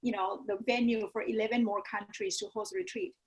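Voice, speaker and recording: A woman's voice: on the high side, sing-song, brisk, poor audio. A woman from Thailand with a Thai accent, a yoga teacher talking earnestly as a guest, often adding "you know" and "right?". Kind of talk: casual interview chat.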